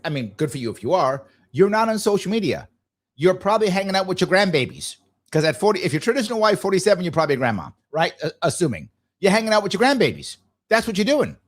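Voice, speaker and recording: A man hosting a live talk show, giving blunt, argumentative commentary and repeating phrases emphatically to drive points home. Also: high voice.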